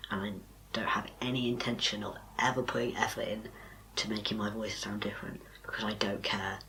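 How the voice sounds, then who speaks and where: A young man, talking casually to camera in a soft, quiet, breathy voice with little power behind it. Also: fairly low pitch, a little hiss or hum, rough recording. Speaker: vlogger.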